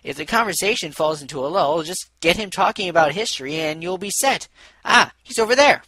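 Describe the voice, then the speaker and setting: A man voicing a brisk, friendly, pushy female pony in a storybook, eager and coaxing. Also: sits high, expressive, pushed and bright, no echo, pitched above the performer's own voice, heavily acted. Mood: encouraging.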